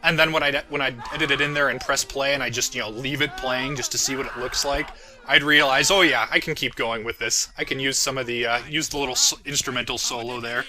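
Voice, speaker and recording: A man's voice: medium pitch, steady tone, fast. A man giving casual, jokey and self-deprecating audio commentary as the creator of the show he is talking about.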